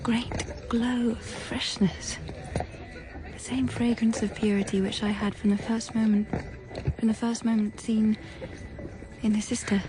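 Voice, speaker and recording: An actress playing a young governess in a gothic period audio drama, earnest and anxious, with a breathy, confiding way of speaking that often drops toward a whisper. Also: female voice, fairly high pitch, flat delivery, a little slow, background noise, close to an everyday voice. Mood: enraptured. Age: young adult. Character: young governess, earnest, anxious, dreamily enraptured by her charges.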